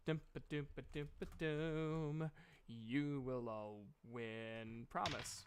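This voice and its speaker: A man's voice: fairly high pitch, a little mellow, slight background noise. A man acting as game master, casually narrating and bantering with players in a jokey, self-deprecating way.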